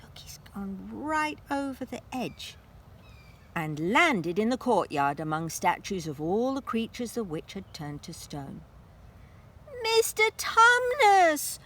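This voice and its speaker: A woman narrating a children's story aloud in an expressive, dramatic style with deliberate phrasing. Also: mid-range voice, husky.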